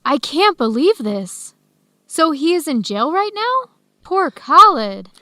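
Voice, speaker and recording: Young woman researcher, practical and concerned, high pitch, deliberate radio drama dialogue, dry recording. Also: sing-song, bright, forceful tone, no echo, full character voice. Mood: alarmed, concerned. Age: young adult.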